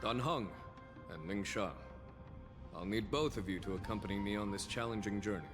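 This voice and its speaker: An actor playing a dignified fantasy general in a video game, formal, deliberate and authoritative. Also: male voice, lowish voice, natural voice. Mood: composed, resolute. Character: dignified, composed male general of a fantasy army. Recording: long hall reverb, music behind.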